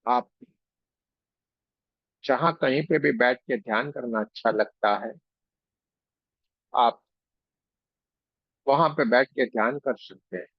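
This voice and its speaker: Male meditation teacher, very slow and gentle, gravelly fry in the voice, muffled recording. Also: mid-range voice, dry recording. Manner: gentle, unhurried, devotional, phrase by phrase. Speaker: meditation guide and Reiki teacher. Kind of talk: guided meditation, slow spoken instruction with pauses.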